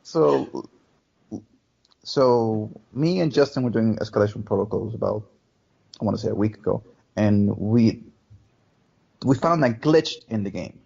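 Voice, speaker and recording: A man co-hosts a casual gaming chat and tells lively stories, swearing freely as he goes. His voice is gravelly with a husky edge, and the recording sounds dull and lo-fi. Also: on the low side, measured pace, pauses often. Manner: animated storyteller, swears a lot, acts out friends' lines. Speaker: podcast co-host. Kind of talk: casual chat about video games. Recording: dull lo-fi podcast audio.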